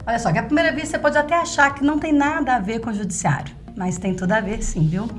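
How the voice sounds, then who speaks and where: A woman with a Brazilian accent hosts a podcast in a fairly high voice over music. She is chatty and conversational, addressing listeners directly and putting questions to her guest. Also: quick pace. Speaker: podcast host, journalist.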